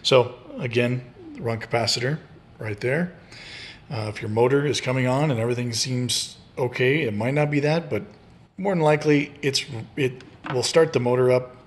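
A man's voice: mid-range voice, moderate pace. Casual man explaining a repair. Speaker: host of a DIY repair channel.

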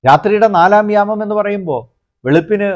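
A middle-aged priest, giving a measured homily that explains scripture in short, paused phrases. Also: male voice, high-pitched. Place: small indoor room, plain backdrop. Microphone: clip-on lapel mic. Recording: slightly dull, lo-fi lapel recording.

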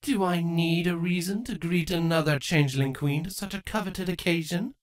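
A man's voice: on the high side, normal speed, pitched above the performer's own voice, lightly acted. A man voicing an ancient, scheming changeling queen, smug and taunting with false sweetness, in a dramatic fan fiction reading. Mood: smug, probing.

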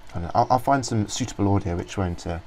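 Rambling man demoing software. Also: lowish voice, fast, a little hiss or hum. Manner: rambling, many ums and restarts. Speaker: software reviewer. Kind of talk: casual screen-recorded software walkthrough.

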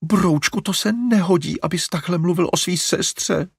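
A man's voice: a little high, big pitch swings, slightly rough, dry recording, clean studio sound, voice pushed up, heavily acted. A man voicing a fussy, fretful middle-aged mother who coaxes and hesitates. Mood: flustered coaxing. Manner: flustered, coaxing, hesitant.

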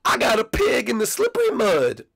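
Man doing high cheeky koopa kid, mocking, show-off, bouncy pitch. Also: huge pitch swings, normal speed, gravelly, a bit bright, no echo, studio quality, exaggerated voice.

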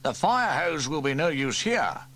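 A man voicing a pompous, blustering male fire chief in a storybook reading, pitched well above his own voice. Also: sing-song, measured pace, a bit bright, exaggerated voice. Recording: faint tape hiss from old cassette.

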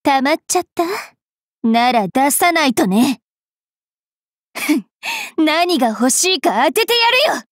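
A woman playing a cruel, wilful young female fairy knight in an anime game, with a haughty, taunting, rough-mouthed delivery. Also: sits high, very animated, slow, pauses often, no room sound, clean studio sound, heavily acted. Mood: mocking, sweet. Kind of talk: anime mobile game voice lines.

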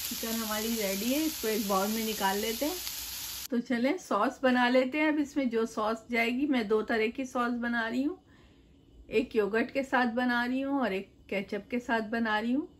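A middle-aged woman walks viewers through a home recipe at her kitchen counter, chatty and explaining each step as she works. Her voice sits a little high for a woman. She keeps turning to the viewer as she goes. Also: moderate pace, slight background noise. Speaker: cooking channel host. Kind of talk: home cooking demonstration.

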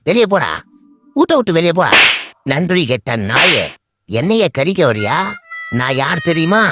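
A man's voice: on the high side, big pitch swings, measured pace. A man voicing a cheeky, boastful male animal in a comic dub, with colloquial, mock-dramatic delivery. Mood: indignant. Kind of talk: comic dubbing of funny animal clips. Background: music bed.

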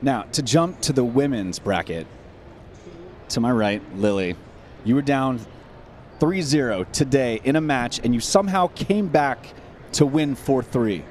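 A man's voice: mid-range voice, lively intonation, unhurried, a little mellow. A young man hosts a live sports pregame show, speaking into a handheld mic. He is upbeat and talks up his guests. Faint noise sits in the background. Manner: upbeat, hyped introductions. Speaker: host. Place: studio set at a convention center.

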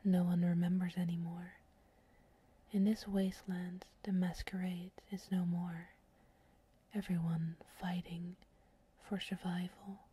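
A woman narrator whispers a solemn story introduction in an ASMR style. Her voice is extremely breathy and soft-edged, with slow, measured phrases and very little rise or fall. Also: lowish voice, flat delivery. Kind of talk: scripted narration for ASMR fantasy roleplay.

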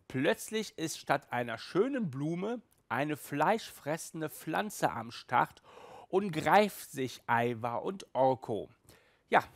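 Man, thirties, review show host, colloquial and chatty, slow overall delivery, dry close recording. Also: on the high side, no echo. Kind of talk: casual video review to camera. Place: small room with sofa and shelves, indoors.

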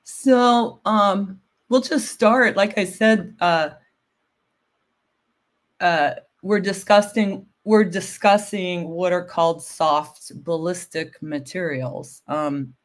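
A middle-aged woman, a bit hoarse from a cold, explaining textile materials on a video call, often checking with "right?". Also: lowish voice, takes it slowly. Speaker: guest expert on textiles. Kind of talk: casual livestream chat. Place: home room with window blinds.

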